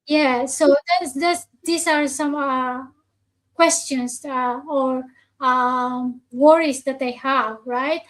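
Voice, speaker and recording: A woman from the Philippines hosts a casual live online chat. She speaks slowly in a high voice. She hesitates often, filling gaps with "uh" and restarting her sentences. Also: steady tone, a bit bright, rough recording. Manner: hesitant, many fillers and restarts. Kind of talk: casual live stream conversation.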